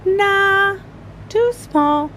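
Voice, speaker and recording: A woman's voice: extremely high pitch, very slow, fairly bright tone, a little hiss or hum, pitched above the performer's own voice, full character voice. A woman voicing a confident, picky woman doctor in a children's picture-book story. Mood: confident, eager.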